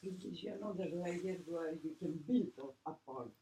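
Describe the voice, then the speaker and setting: A man's voice: sits high, normal speed. A man chatting casually as a listener discussing poems, recorded in an echoey room with noise. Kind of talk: casual chat.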